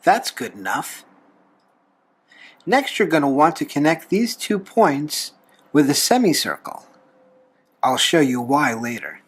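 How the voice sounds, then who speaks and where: Lively higher-voiced man teaching drawing. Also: measured pace, long pauses, breathy edge. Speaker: host of a drawing tutorial show, reverend. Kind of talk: step-by-step drawing instruction. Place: talking from behind a camera while drawing.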